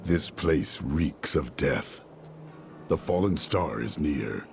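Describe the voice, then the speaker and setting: An actor playing a grim, stern male barbarian warrior in a video game, with a deep, gravelly voice and slow, solemn delivery. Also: lively intonation, soft background music, full character voice. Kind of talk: video game cutscene voice acting.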